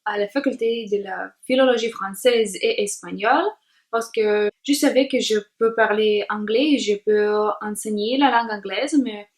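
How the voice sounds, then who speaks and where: Young woman, learner's vlog, slow and careful, slightly high voice. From Latvia. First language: Russian. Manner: hesitant, many filler sounds while searching for words; careful, deliberate language-learner delivery. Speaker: vlogger. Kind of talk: self-introduction vlog.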